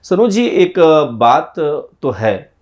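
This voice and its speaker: A man interviewing a guest for a channel. He has a sore throat, asks drawn-out rhetorical questions and turns to address viewers directly. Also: a little high, very animated.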